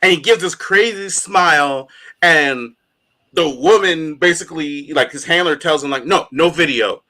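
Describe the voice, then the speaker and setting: A man co-hosting a casual podcast, talking in an animated way with frequent laughs and plenty of fillers. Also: fairly high pitch, normal speed. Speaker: podcast co-host. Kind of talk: casual chat.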